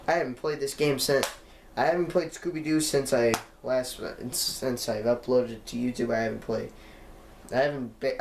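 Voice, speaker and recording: A man's voice: mid-range voice, gravelly. A teenage boy hosting his own gaming channel, chatting casually and rambling as he corrects himself mid-sentence. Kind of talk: casual let's-play chat. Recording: echoey room with faint background noise.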